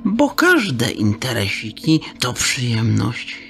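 A greasy, cackling male fence in a radio play, his gravelly voice swooping wildly up and down. He drawls every word slowly and mangles his grammar with a wheedling purr, with music under him. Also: medium pitch, huge pitch swings, a bit bright, exaggerated voice. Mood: oily. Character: sly greedy male fence, cackling, odd diminutive speech. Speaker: fence Dallas. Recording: radio drama with music behind.

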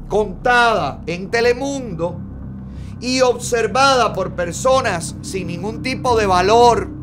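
A middle-aged Cuban man with a Cuban Spanish accent, hosting a gossip commentary show in a studio, emphatic and sarcastic. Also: high-pitched. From Cuba. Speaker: host. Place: bright studio, seated in a red chair.

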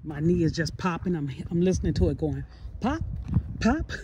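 Female vlogger, middle-aged. Unhurried, chatty, plain-spoken, recorded on a handheld phone with noticeable background noise. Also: fairly low pitch, sing-song. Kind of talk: casual vlog chat to viewers. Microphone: phone at arm's length.

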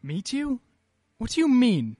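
An actor playing a troubled, irritable well-to-do husband in a radio play, clipped and indignant. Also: male voice, very high voice, huge pitch swings, a little slow, dark tone, husky, dry recording, soft background music, exaggerated voice.

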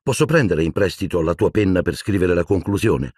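A man's voice: low voice, expressive, fairly quick, a little mellow, husky, no echo, clean studio sound. A man voicing a grumbling, clumsy teenage schoolboy in an audiobook.

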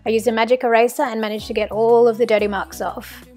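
A woman's voice: on the high side, little pitch movement, quick pace, a little mellow. A young woman from Australia narrating her home cleaning routine in a friendly, encouraging voice-over, with music playing behind her. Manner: friendly, practical, encouraging tips. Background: background music.

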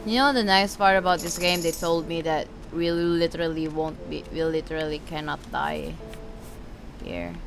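A woman's voice: sits low, moderate pace, gravelly, slight background noise. A woman giving casual, sweary let's play commentary as a game streamer, reacting aloud in an informal way. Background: video game music.

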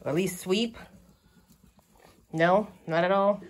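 A woman chatting casually behind a handheld camera outdoors on her homestead, laid-back and quick to laugh. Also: mid-range voice. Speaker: homestead vlogger filming. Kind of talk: casual vlog chat. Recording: music playing under the voice.

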